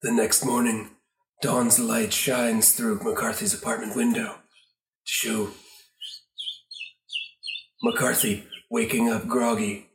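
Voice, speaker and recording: Improv podcaster doing radio play bits n narration, medium pitch, roomy echo. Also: little pitch movement, slow pace, creaky, pauses often. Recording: echoey room, faint noise.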